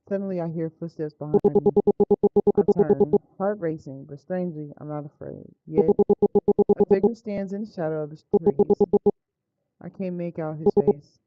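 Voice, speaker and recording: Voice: mid-range voice, no echo. American game streamer, androgynous mid-range voice, casual, profane, muttering, close dry microphone, slightly dull lo-fi sound. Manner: informal, profane, muttering to self and viewers. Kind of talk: casual live game stream chat with read-aloud visual novel text.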